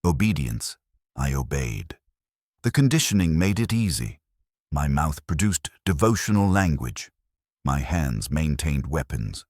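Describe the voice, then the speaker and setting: A man's voice: very deep voice, sing-song, a little slow, darkish tone, dry recording, clean studio sound, heavily acted. A man voicing a hollow, faithless warrior nun, telling her grim life as scripted first-person story narration in detached, solemn, clipped sentences. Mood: bleak, detached.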